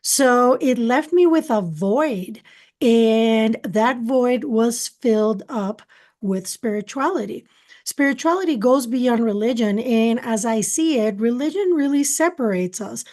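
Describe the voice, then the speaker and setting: A woman's voice: fairly high pitch, lively intonation, unhurried, a little mellow. A middle-aged woman from Costa Rica whose first language is Spanish, telling her life story earnestly as an interview guest. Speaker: guest, spiritual channeler. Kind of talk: interview answers, personal story.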